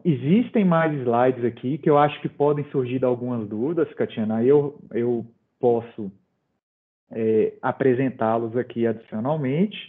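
A middle-aged man presenting in an online webinar, talking informally with many fillers and light jokes to the audience. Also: mid-range voice. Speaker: presenter running a quiz. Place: home office. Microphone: video call. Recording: phone-like video call audio.

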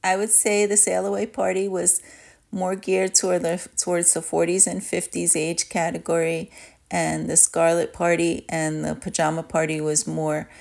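A woman narrates a travel vlog as a casual, personal review. Her voice sits fairly low for a woman and has a clear creaky fry running through it. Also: darkish tone. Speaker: travel vlogger. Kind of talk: casual voice-over review of a cruise trip.

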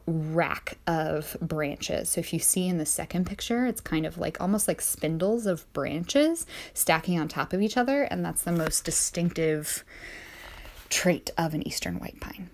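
A young woman from the eastern United States, chatty and upbeat, leading young children through a casual nature-walk lesson, recorded outdoors on a phone held at arm's length. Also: lowish voice. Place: outdoors in a sunny neighborhood.

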